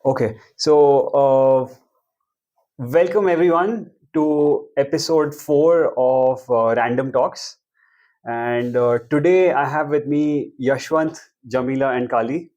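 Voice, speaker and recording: A young man hosting a casual talk show, relaxed and asking short questions. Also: mid-range voice, takes it slowly, muffled recording. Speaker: host. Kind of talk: casual chat.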